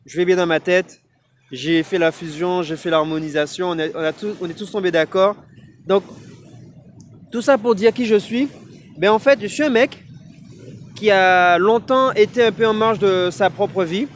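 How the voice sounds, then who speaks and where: A man with a high voice speaks reflectively outdoors, pausing often and hunting for the right words. Faint wind and passing traffic sit behind him on the recording. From Martinique. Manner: reflective, searching for words with many fillers. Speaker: vlogger. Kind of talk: casual personal vlog monologue.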